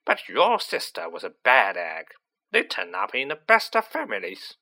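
A man's voice: medium pitch, huge pitch swings, normal speed, gravelly, pushed and bright, heavily acted. A man voicing a boorish, snobbish middle-aged aunt in a storybook, with a blustering, contemptuous delivery. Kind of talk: storybook character voice.

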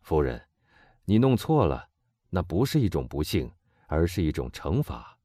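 A man's voice: very deep voice, a little slow, no room sound, studio quality, full character voice. Man playing a coldly ironic vengeful count. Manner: calm, bitterly ironic. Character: cold, vengeful middle-aged nobleman.

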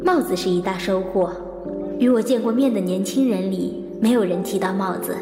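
An actress plays a woman investigator in a reverberant radio drama, with music underneath. She is composed and probing, and her delivery is measured and introspective, in a mid-pitched voice. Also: lightly acted. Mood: reflective. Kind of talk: audio drama narration and dialogue. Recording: echoey long reverb, music behind.

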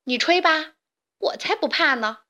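A woman's voice: high-pitched, animated, measured pace, bright, forceful tone, pitched above the performer's own voice, full character voice. A woman voicing the eldest of three little pigs, a proud and boastful piglet. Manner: boastful, then panicked.

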